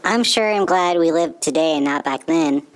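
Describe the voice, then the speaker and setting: Woman playing an earnest, friendly boyish puppet, lowish voice. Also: moderate pace, natural voice. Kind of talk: children's show dialogue, scripted.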